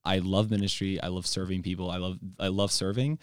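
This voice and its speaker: A man's voice: low voice, level tone, fairly quick, smooth, no room sound, studio quality. A young man, a church intern, talking earnestly and eagerly in a casual podcast conversation, with lots of fillers. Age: young adult. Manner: earnest, enthusiastic, fillers and restarts. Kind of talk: casual chat.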